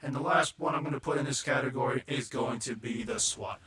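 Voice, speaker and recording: Fast-talking man with a robotic-sounding voice. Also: medium pitch, echoey room, background noise. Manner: chatty, keeps repeating "not gonna lie". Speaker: gaming video creator. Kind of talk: casual gaming tier-list commentary.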